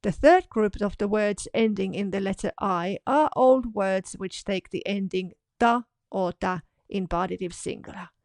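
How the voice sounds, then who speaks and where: A woman narrates a scripted language lesson, saying each example word twice, slowly and deliberately. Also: medium pitch, a little mellow, dry recording, clean studio sound. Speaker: language teacher.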